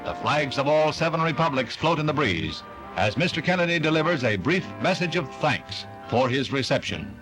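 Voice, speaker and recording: A man's voice: on the high side, big pitch swings, moderate pace, low-quality recording. An American man reading scripted 1960s newsreel narration in a declamatory announcer's delivery, on a vintage soundtrack. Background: newsreel music.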